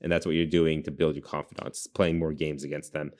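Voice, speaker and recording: A man's voice: a bass voice, flat delivery, a little fast, darkish tone. A man hosting a podcast, chatting casually and informally about video games in a rambling way. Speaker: podcast host.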